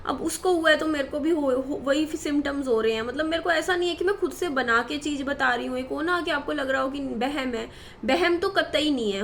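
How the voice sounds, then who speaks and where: A woman's voice: high voice, a bit bright, a little hiss or hum. A woman in her thirties talking to her viewers in a worried, rambling way, with many fillers and restarts. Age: 30s. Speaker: vlogger. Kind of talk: personal talk to camera.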